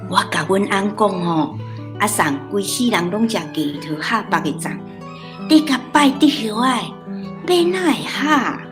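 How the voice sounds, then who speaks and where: Slow-talking Taiwanese village grandmother, played by a woman. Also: medium pitch, lively intonation, over music, lightly acted. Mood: gossipy. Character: chatty, traditional old village grandmother. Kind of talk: radio drama dialogue.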